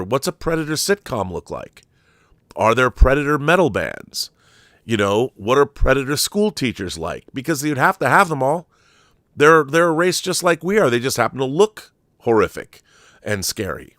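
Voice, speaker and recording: A man's voice: medium pitch, fairly bright tone, no echo, studio quality. A middle-aged man hosting an unscripted film commentary show, talking in an emphatic, digressive, opinionated fan style.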